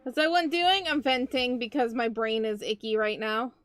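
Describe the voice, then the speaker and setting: Tired-sounding woman vtuber, highish voice, just chatting and teasing herself on stream. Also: fairly even intonation, normal speed, fairly bright tone, clean tone, dry recording. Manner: chatty, talks to chat, self-mocking. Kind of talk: casual live-stream chat.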